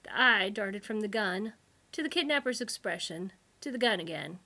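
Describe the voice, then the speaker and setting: A woman reading a humorous science fiction novel aloud, with wry, expressive storytelling. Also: mid-range voice, moderate pace. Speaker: author reading own book. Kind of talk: scripted narration of humorous sci-fi novel.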